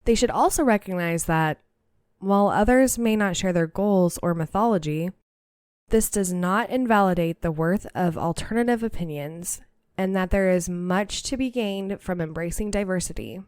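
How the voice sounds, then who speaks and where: Woman, podcast host, slightly nasal, lowish voice, dry close mic. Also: expressive, normal speed, darkish tone, smooth, no room sound. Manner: laughs easily, chatty and upbeat. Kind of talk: casual podcast chat and reading aloud.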